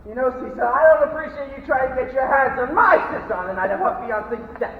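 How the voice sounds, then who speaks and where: A man's voice: extremely high pitch, lively intonation, quick pace, vocal fry, full character voice. A young man acting a pompous, protective, blustering brother in a declamatory stage farce style. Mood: huffy. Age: teenager playing an adult. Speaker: high school student actor. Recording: muffled, echoey hall rehearsal from far off.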